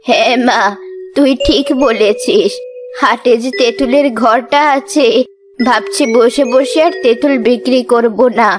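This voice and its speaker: Children's cartoon granny acted by a woman: simple-hearted, homely old villager, high-pitched, over background music. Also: unhurried, natural voice. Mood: agreeable. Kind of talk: Bengali children's cartoon dubbing. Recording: music behind the voice.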